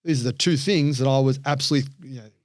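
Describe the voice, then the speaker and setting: A man with an Australian accent, a podcast guest and coach, telling stories in a lively way and acting out the voices of people he quotes. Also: medium pitch, rapid-fire, dry recording, studio quality. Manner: animated storyteller, acts out quoted voices. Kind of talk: storytelling interview chat.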